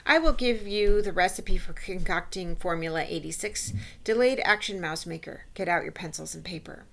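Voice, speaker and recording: A woman's voice: lowish voice, moderate pace, gravelly. A woman voicing a bossy, scornful witch leader who scolds and gives orders imperiously in a storybook. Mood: commanding, gloating. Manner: imperious, scolding, odd foreign-style grammar.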